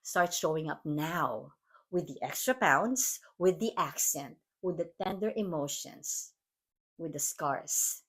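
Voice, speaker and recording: A woman from the Philippines with a Filipino accent, hosting her podcast in an earnest, measured, reflective monologue. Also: sits low, animated, a bit bright, slightly rough. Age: adult mom. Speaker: podcast host. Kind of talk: scripted motivational monologue.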